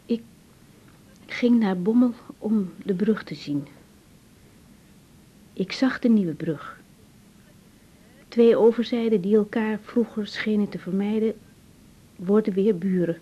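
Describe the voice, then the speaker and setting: Hushed young woman doctor, slightly muffled. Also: slow, long pauses, breathy edge. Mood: solemn reciting. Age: young adult. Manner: hushed, hesitant, tender. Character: compassionate, troubled young female doctor. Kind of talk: naturalistic 1980s radio drama. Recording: dull, muffled tape with faint rumble.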